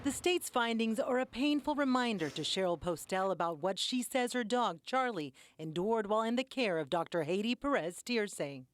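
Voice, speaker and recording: A woman's voice: mid-range voice, expressive, moderate pace, few pauses. A woman reading a scripted investigative television news report as the reporter.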